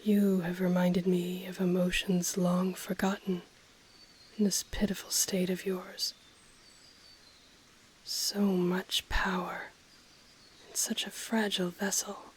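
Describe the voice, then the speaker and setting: A woman voicing an ancient female dragon in an intimate audio roleplay, breathy and often whispering, haughty yet tender, with teasing, seductive, formally worded speech. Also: fairly low pitch, little pitch movement, slight background noise. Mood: possessive, tender. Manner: slow, teasing, formal archaic phrasing.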